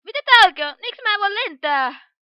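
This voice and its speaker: A woman's voice: extremely high pitch, very animated, bright, forceful tone, dry recording, heavily acted. A woman voicing a brash, impulsive young female pony in an amateur cartoon fandub, with a dramatic delivery. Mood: alarmed. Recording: dull, lo-fi home recording.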